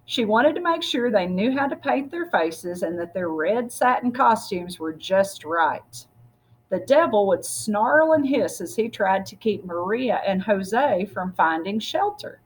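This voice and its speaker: A woman with a slightly husky voice reads a picture book aloud, speaking directly to her listeners as a storyteller. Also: mid-range voice, sing-song, unhurried, poor audio. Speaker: story reader.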